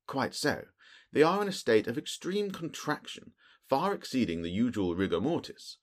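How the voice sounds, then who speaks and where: A man acts out a keen male detective from a storybook, pitched up from a low natural voice. He is precise, dry and sure of himself, explaining things incisively with lively melody. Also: moderate pace, lightly acted. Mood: brisk, questioning. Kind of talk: audiobook character voice.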